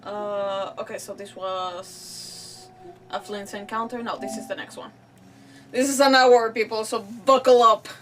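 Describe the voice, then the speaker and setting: A woman's voice: medium pitch, fairly even intonation, a little slow, fairly bright tone. A woman streamer giving casual gameplay commentary in a rambling, self-deprecating way. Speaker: gaming streamer. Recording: slightly distant mic, faint music behind.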